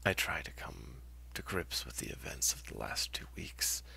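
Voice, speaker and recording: Very deep, gravelly whispering male horror narrator. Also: expressive, pushed and bright. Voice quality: husky, gravelly, breathy whisper. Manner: theatrical, brooding, halting delivery. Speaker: horror story writer and narrator. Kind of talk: dramatic first-person horror narration.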